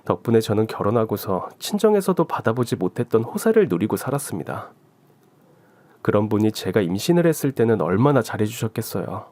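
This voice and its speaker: A man's voice: fairly low pitch, sing-song, a little fast, a little mellow, no echo. Man reading a story aloud. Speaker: story narrator. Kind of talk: scripted storytelling narration.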